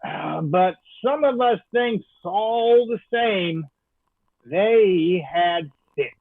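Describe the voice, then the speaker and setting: A thin, phone-like, roomy recording carries a man playing a coarse country foreman of about sixty. He is sardonic and rustic, and takes his words very slowly, in the manner of an old radio play. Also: sits high, animated, vocal fry, full character voice. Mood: solemn, halting. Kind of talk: old time radio drama acting.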